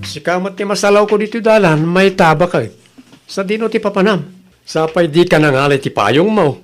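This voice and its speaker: A man's voice: sits high, normal speed, soft background music, lightly acted. A man playing a grumbling but obliging village husband with an Ilocano accent in a comedy drama.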